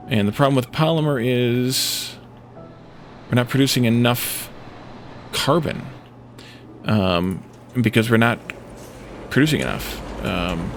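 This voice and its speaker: A man giving casual gameplay commentary as a let's play host, thinking aloud in a hesitant, halting way. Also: mid-range voice, slight background noise. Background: music in the background.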